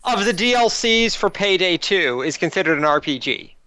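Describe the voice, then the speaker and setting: A man's voice: high voice, expressive, normal speed, crowd noise. A man playing a tabletop game, chatting casually as he says what his character does. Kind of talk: casual chat.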